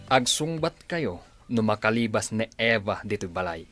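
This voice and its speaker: A man's voice: on the low side, sing-song, normal speed, a bit bright, soft background music. Man acting commanding villain boss, swinging delivery. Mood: commanding, menacing. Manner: commanding, sneering. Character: scheming male villain boss, commanding and mocking. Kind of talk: Ilocano audio drama acting.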